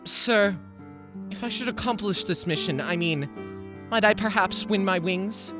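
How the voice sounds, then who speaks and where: A woman's voice: medium pitch, measured pace, music in the background, natural voice. A woman playing an eager, naive, very old apprentice angel in a radio play, polite, fussy and deferential. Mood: eager, flustered. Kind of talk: 1940s radio play acting.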